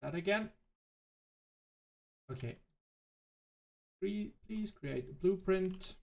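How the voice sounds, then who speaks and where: A man whose native language is German, thinking aloud in halting, self-correcting commentary as he plays a game. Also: high-pitched, expressive, vocal fry. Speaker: let's play host. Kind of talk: casual gameplay commentary.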